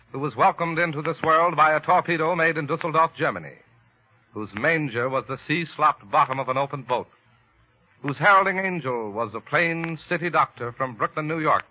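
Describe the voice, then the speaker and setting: An actor playing a solemn, declamatory narrator in the style of 1940s radio drama. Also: male voice, a little high, moderate pace, sharp tone. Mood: solemn, dramatic. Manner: declamatory, emphatic. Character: solemn, dramatic male documentary announcer. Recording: muffled old 1940s radio recording.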